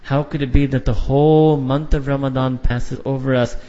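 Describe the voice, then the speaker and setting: A man's voice: medium pitch, fairly even intonation, moderate pace, warm tone. A man preaching a sermon from the front of a large hall, passionate and full of rhetorical questions. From Pakistan or India. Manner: impassioned, rhetorical questions, mixes Urdu and Arabic phrases. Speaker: Islamic preacher. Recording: dull lo-fi sound with long hall reverb.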